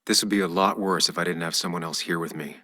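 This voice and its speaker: An actor playing a brooding, cautious journalist-detective with an American accent and a terse, serious manner in a video game. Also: male voice, low-pitched, fairly even intonation, fast talker, bright, forceful tone, long reverb tail. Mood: guarded, focused.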